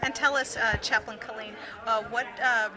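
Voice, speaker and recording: A woman's voice: on the high side, steady tone, normal speed. A woman interviewing guests for local TV at a community event, prompting them with short questions and "um" fillers. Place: outdoor community holiday event. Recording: noisy crowd outdoors. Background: crowd chatter.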